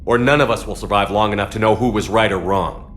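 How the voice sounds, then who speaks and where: A man plays a human military commander in a sci-fi game. He has a low voice and a firm, controlled delivery, blunt and decisive. There is music behind him. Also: fast talker, fairly bright tone, lightly acted. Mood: resolute, urgent. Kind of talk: cinematic video game acting.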